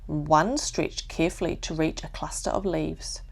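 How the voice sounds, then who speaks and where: Slow, gentle New Zealand woman storyteller. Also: deep voice, steady tone, creaky, slightly rough. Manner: gentle, deliberate pauses. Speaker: children's storyteller. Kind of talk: calm bedtime story narration.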